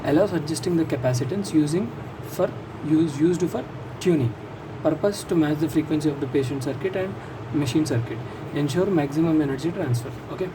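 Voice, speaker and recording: A man with an Indian accent giving an informal explanatory lesson as a lecturer, repeating and rephrasing key points step by step. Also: a little high. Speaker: physiotherapy lecturer. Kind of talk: informal explanatory lesson, mixing English terms into Telugu. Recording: distant mic, loud background vehicle noise.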